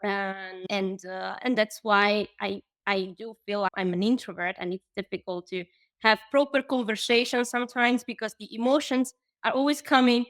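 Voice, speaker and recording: Italian woman guest, halting English, dry close mic. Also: mid-range voice, fairly even intonation, fairly bright tone, dry recording. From Italy. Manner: hesitant, many fillers and restarts. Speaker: guest, language coach. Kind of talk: casual interview conversation.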